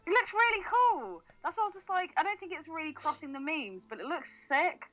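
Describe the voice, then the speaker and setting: A woman's voice: very high-pitched, animated, fairly quick, a bit bright. A woman co-hosting a podcast in casual chat, informal and slangy, with some swearing. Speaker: podcast co-host. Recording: music playing in the background. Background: film soundtrack music.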